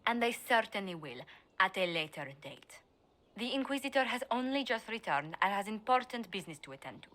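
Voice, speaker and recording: A woman's voice: mid-range voice, big pitch swings. A composed, shrewd female advisor. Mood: measured. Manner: measured, formal, quietly persuasive. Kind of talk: video game voice acting.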